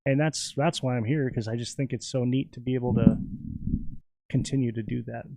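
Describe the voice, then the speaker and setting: Man from Minnesota, gravelly voice, relaxed rambling chat, dry close sound. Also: mid-range voice, brisk, no room sound, rough recording. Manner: laid-back and rambling, with many fillers. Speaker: podcast guest. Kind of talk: casual podcast chat.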